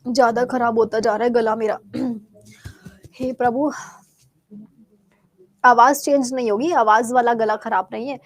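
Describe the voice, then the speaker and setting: A woman teaching a live exam-prep quiz class with a Bihari Hindi accent, chatty and asking questions she then answers, her throat sore and cleared often. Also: on the high side, fairly even intonation, a little slow, pauses often, dry recording, low-quality recording.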